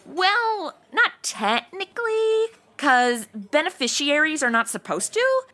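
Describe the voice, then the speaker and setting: A woman's voice: sits high, big pitch swings, slow pace, sharp tone, exaggerated voice. A woman playing a bubbly, ditzy young insurance seller in a comedy audio drama, with flippant, slangy Valley-girl chatter. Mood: flippant, gossipy.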